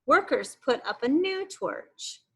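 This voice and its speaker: A woman's voice: high voice, sing-song, slow pace, creaky, sharp tone, exaggerated voice. A woman voicing an informative female park ranger from a children's book, explaining things to a school class.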